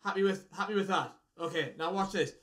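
Man, twenties, British accent, high-pitched, casual magician's patter. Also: a little fast, a bit bright. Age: 20s. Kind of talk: casual chat with strangers on video. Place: small room at home.

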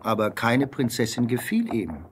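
A man's voice: mid-range voice, very animated, normal speed, a little hiss or hum. A man narrating a scripted fairy tale as storyteller.

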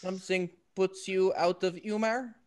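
A woman plays a foolish dandy in an old comedy of manners. The voice is mid-pitched and affected. Every phrase is florid and vain. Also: fairly even intonation, a little slow, darkish tone, lightly acted. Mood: puzzled, wooing. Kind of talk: classical stage comedy acting. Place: indoors at home, on a video call.

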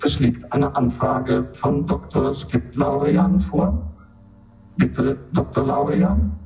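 An actor in a German radio drama playing an impassive session announcer with procedural, deadpan delivery. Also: male voice, medium pitch, takes it slowly, soft background music. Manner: clipped phrases, procedural, deadpan. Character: impassive male session announcer running a recorded presentation. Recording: dull, echoey, distant chamber sound.

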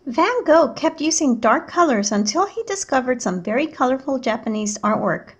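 High-voiced woman reading a children's book aloud. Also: expressive, moderate pace, breathy edge. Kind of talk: read-aloud narration of a picture book.

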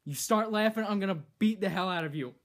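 A teenage boy hosting a comedic skit in a living room, bossy and exasperated, bursting into shouts, recorded on a camera mic a few feet away. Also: high voice, fast. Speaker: host. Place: decorated living room indoors.

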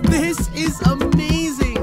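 Excited, high-voiced young daughter. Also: female voice, slow. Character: enthusiastic youngster, daughter at a music festival. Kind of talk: audio drama acting. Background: loud festival music.

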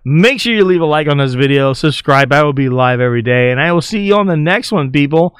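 Guy doing casual reaction commentary, slightly high voice, slangy, dry close sound. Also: expressive, fast, clean tone, no echo. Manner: informal, slangy, often chuckling. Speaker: video host.

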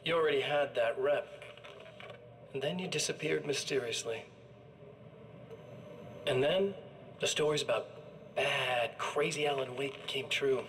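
An actor playing a man's smug, menacing evil double in a video game, with a taunting, theatrical, drawn-out delivery. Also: mid-range voice, a bit bright, far from the mic, slight background noise, low-quality recording. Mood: gloating. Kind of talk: video game villain monologue.

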